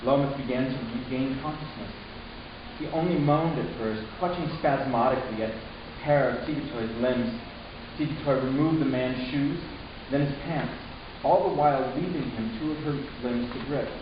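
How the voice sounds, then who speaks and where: A man's voice: medium pitch, normal speed, natural voice. A man playing an anxious young narrator in a dramatic first-person reading, earnest and hesitant. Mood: uneasy narration. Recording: echoey room, distant mic, loud hiss, muffled.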